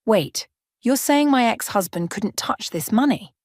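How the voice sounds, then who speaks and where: A woman's voice: a little high, lively intonation, a little slow, a little mellow, no room sound, clean studio sound, voice pushed up. A woman playing a shaken, bewildered divorcée of thirty-five, questioning emotionally in an audio story.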